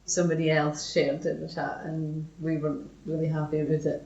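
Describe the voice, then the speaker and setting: A woman's voice: low-pitched, completely flat delivery, brisk, darkish tone. A woman co-hosting a podcast, chatting casually in an informal, teasing way. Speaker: podcast co-host. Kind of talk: casual chat. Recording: echoey room, slightly dull sound.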